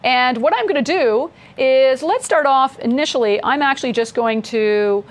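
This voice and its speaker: A woman's voice: high voice, moderate pace. A woman casually walking through code as an instructor, chatty and upbeat, laughing along with her co-host. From mother from Scotland. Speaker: coding instructor. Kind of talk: casual screen-share coding lesson.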